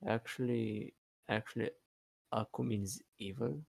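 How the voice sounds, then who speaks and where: A man who is not a native English speaker, chatting casually in a halting way with repeated words and many fillers. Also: lowish voice, fairly even intonation, creaky, no echo. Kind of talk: casual hesitant chat.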